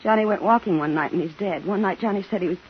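A woman's voice: mid-range voice, fast, lightly acted. An actress playing a fragile young mother and widowed sister in a 1950s radio drama. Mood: dazed grief. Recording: muffled, noisy vintage radio recording.

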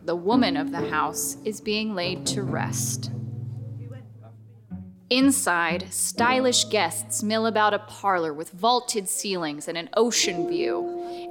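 A woman performing as a wry, ominous gothic storyteller, narrating a scripted radio drama in a measured, literary way. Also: medium pitch, close to an everyday voice. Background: prominent music.

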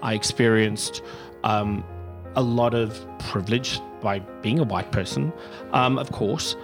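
South african guy, middle-aged, lots of ums and restarts, soft music behind. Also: lowish voice, unhurried. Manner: thoughtful, many fillers and restarts. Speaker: executive director of a pride center. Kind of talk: interview answers.

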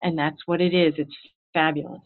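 A woman's voice: low-pitched, brisk. Woman on a tinny phone line. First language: English. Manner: animated storytelling, acts out her own past thoughts. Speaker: head of school. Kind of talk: interview answers, casual and earnest.

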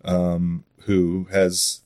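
Low-voiced, rambling man in casual chat. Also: completely flat delivery, drawn-out words, darkish tone, smooth, no echo, studio quality. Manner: rambling, self-deprecating, chuckling. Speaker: podcast guest, audio drama writer and voice actor.

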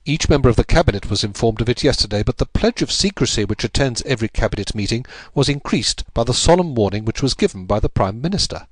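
A man reading aloud, voicing an earnest younger statesman who explains in a hurried, anxious way. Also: mid-range voice, animated, dry recording, lightly acted.

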